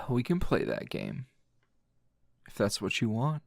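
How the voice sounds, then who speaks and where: A man playing a weary, teasing male teacher hero in an intimate ASMR roleplay, with a low gravelly voice and lingering pauses. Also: wildly swooping pitch, dry recording, clean studio sound, exaggerated voice. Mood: teasing, seductive. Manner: slow, breathy murmuring with sighs and long pauses.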